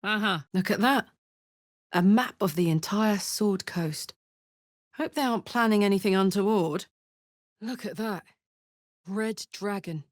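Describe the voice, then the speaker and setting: A woman playing a brash, big-hearted tiefling barbarian in a video game, blunt, earthy and expressive. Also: mid-range voice, unhurried, pauses often, dry recording, studio quality, close to an everyday voice. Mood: alert, matter-of-fact. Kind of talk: video game character voice lines.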